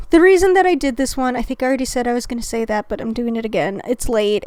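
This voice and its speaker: A woman chats casually on her reaction channel, rambling, restarting her sentences and getting heated. Her voice sits a little high and the sound is dry and close, with a bit of throat-clearing from a recent illness. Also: darkish tone, no room sound. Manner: rambling, self-interrupting, passionate. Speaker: reaction video host. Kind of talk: casual commentary.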